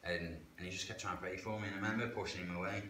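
A man's voice: low-pitched, fairly even intonation, very fast, bright, forceful tone. A man tells his life story frankly and plainly, using local slang. He is seated in a church hall and recorded by a camera some distance away. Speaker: guest sharing life story. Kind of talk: candid personal testimony. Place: church hall, indoors, medium-sized. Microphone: far camera. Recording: echoey hall with background hiss.

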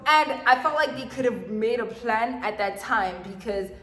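A woman's voice: on the high side, animated, normal speed, fairly bright tone. A woman in her twenties with a South African accent, chatting casually about life as a performer. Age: 20s. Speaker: performer telling stories of gigs with team. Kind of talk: casual group chat. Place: indoor room, seated before a plain wall. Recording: echoey room.

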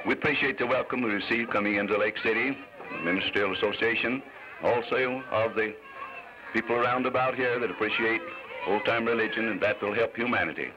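A man's voice: lowish voice, a little fast. An American man, a minister, giving short public remarks outdoors. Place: outdoor park gathering. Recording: muffled, noisy 1950s film soundtrack.

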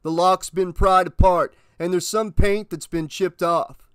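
A man voicing a calm, laconic, folksy small-town man in an audiobook. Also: sits high, measured pace, smooth, dry recording, studio quality. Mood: matter-of-fact. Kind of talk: audiobook character voice.

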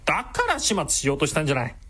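An actress playing a brash, sardonic villainous woman with a sharp-tongued, theatrical manner in a comedic radio drama. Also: sits low, huge pitch swings, racing, breathy edge, heavily acted. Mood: exasperated.

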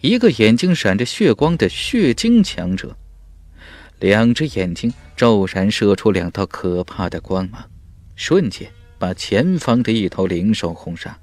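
Male audiobook narrator, lowish and very lively, emphatic fantasy storytelling, close dry recording. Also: a little slow, dry recording. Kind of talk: dramatic scripted narration of a fantasy novel.

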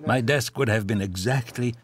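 A man giving a measured, reflective voice-over translation for a documentary interviewee. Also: medium pitch.

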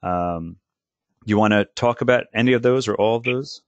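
A male language teacher talking casually on a panel, halting with many restarts and fillers. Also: on the low side, no echo, lo-fi sound.

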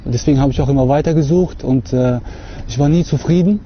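A young man from Russia talking to camera outdoors on a lawn, earnest and hesitant, filling pauses often. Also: mid-range voice, muffled recording, slight background noise. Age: young adult. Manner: hesitant, many fillers, earnest. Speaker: convert giving a testimony. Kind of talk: unscripted talk to camera.

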